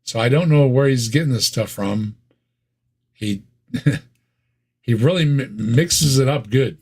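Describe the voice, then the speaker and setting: A man's voice: medium pitch, slow, a little mellow, husky. A man with a Midwestern American accent, co-hosting a casual reaction chat with wry, sarcastic asides and frequent laughs. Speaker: co-host reacting to videos.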